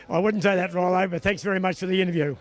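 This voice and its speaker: A man with a high voice talks quickly, answering interview questions outdoors. His answers ramble along in plain, everyday words. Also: fairly even intonation. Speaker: bowls region organiser.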